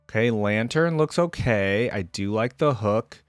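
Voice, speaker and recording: Man reacting casually, very expressive pitch. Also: unhurried, smooth, no echo, studio quality, faint music behind. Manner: informal, thinking aloud. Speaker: reaction video host. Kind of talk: casual reaction commentary on game character skins.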